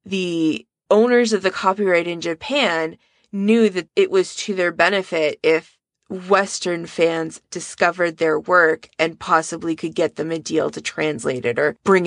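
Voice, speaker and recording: Woman, podcast co-host, low voice, gravelly, dry close sound. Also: no room sound. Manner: enthusiastic, conversational storytelling. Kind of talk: casual discussion.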